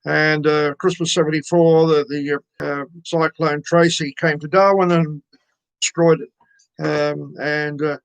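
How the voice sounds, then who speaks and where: Gravelly older Australian radio presenter. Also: male voice, fairly high pitch, level tone, no room sound, rough recording. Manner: halting, many ums and uhs. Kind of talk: casual radio chat between songs.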